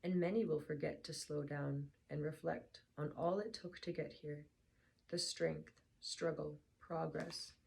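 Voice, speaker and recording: Young woman, very low and gravelly, hoarse and tired, soft-spoken casual reader. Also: steady tone, a little slow, rough recording. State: hoarse, slightly lost voice. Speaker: book reader vlogger. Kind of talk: casual chat then reading aloud.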